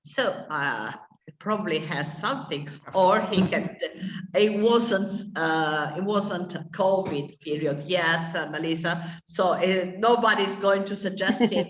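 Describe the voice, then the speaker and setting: A woman's voice: lowish voice, a little slow, echoey room, a little hiss or hum, poor audio. A woman teaching English to adult learners, stopping often to search for words mid-sentence. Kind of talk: classroom explanation to adult learners.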